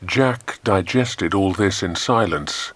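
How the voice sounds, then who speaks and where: A man with an English accent narrating a children's adventure story in a measured, dramatic storytelling manner. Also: low voice, fairly bright tone, breathy edge, no room sound. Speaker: audiobook narrator.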